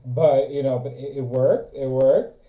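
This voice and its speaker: A man's voice: medium pitch, fairly even intonation, a little slow. A man chatting casually as a co-host, giving earnest, repetitive advice. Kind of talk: casual spiritual chat. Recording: echoey phone-like audio.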